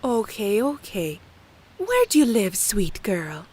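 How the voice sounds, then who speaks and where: A woman voicing a sweetly soothing, slightly eerie motherly pony stranger, gentle and coaxing, in a horror comic dub. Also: mid-range voice, huge pitch swings, takes it slowly, husky, exaggerated voice. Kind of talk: animated comic dub acting.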